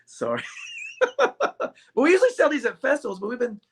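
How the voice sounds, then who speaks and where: A man's voice: extremely high pitch, huge pitch swings, brisk, very noisy. A man, a ukulele shop owner being interviewed, chatting casually and joking with frequent laughter. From United States. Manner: jokey, laughs often. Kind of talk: casual chat.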